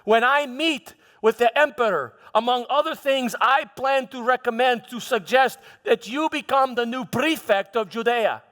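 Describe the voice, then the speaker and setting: A man playing a proud, defensive Roman governor in a live church monologue, declaiming emphatically with halting repeated words. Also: extremely high pitch, a bit bright. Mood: brisk, confiding. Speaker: preacher performing a dramatic monologue. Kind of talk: first-person theatrical church monologue. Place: large hall, live church performance. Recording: long hall reverb.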